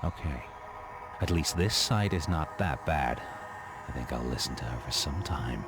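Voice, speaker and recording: Game antihero: bottomless bass, gritty, world-weary, sardonic noir monologue over prominent music. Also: male voice, animated, measured pace, exaggerated voice. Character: cynical dead gunman doomed to fight through purgatory.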